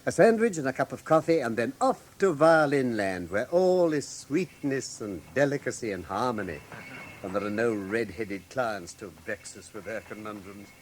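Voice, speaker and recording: An actor playing a brilliant, self-assured British detective with precise, authoritative speech in classic radio drama style. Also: male voice, medium pitch, lively intonation, moderate pace, natural voice. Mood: musing, then brisk. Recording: faint background noise, old radio production.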